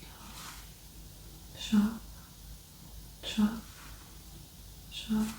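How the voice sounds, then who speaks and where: A woman speaking softly and quietly in brief answers as the patient in an ASMR exam roleplay. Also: medium pitch, completely flat delivery, very slow. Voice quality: soft, quiet. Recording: distant, echoey room, noisy background.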